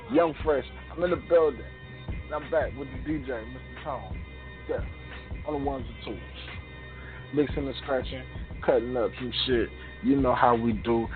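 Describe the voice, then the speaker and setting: A man co-hosting a radio show in casual, slangy banter with a hype-man delivery. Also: mid-range voice. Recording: tinny phone-like sound, music underneath.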